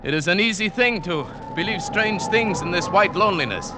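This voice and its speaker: An actor playing an earnest, superstitious South American mountain guide telling his perilous adventure in a measured, dramatic 1940s radio style. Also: high voice, expressive, background noise. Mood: grave, wary. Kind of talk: 1940s-50s radio drama acting and narration. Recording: vintage radio recording with music.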